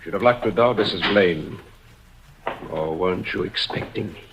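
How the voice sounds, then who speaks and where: An actor playing a cold, commanding murderer who makes smooth threats in a 1950s radio thriller. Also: male voice, deep voice, normal speed, noisy background. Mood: cold menace. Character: cold, menacing male murderer holding a woman at gunpoint. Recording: dull, muffled old radio recording.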